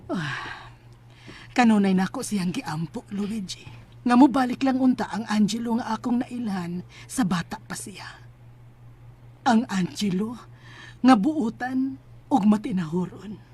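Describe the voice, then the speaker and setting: A Filipino-accented actress playing a kind, generous middle-aged mother in a radio drama, speaking in a gentle, motherly way. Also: mid-range voice, a little slow, long pauses, slightly rough. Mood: wistful.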